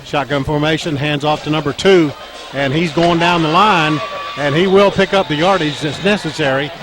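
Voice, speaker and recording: A man with a scratchy, strained voice calling a high school football game play by play, halting with fillers and lifting when a run breaks loose. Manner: halting, frequent fillers, rises when plays break open. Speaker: play-by-play announcer. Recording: crowd and music under the voice. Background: crowd and band music.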